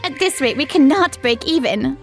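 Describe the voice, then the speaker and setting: A woman's voice: high voice, expressive, normal speed, music in the background. A woman playing a worried, businesslike restaurant employee in a comic dub, serious and anxious. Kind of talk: anime-style comic dub acting.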